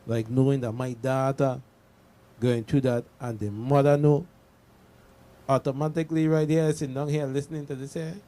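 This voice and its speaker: A man's voice: mid-range voice, level tone, moderate pace, dark tone. A man talking casually and earnestly on a radio panel, colloquial and peppered with "you know".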